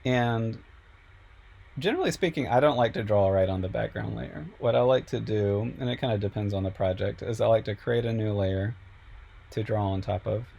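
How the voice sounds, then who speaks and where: A man, a professor, explaining software step by step in a relaxed way with many fillers, slightly sick and losing his voice. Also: lowish voice, normal speed, gravelly, lo-fi sound, slight background noise. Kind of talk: screen-recorded software tutorial.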